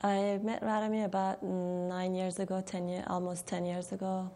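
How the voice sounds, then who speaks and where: Woman with a gravelly voice. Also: mid-range voice, flat delivery, normal speed, warm tone. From Iran. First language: Persian. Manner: reflective, with fillers and restarts. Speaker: interviewee. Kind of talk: personal interview.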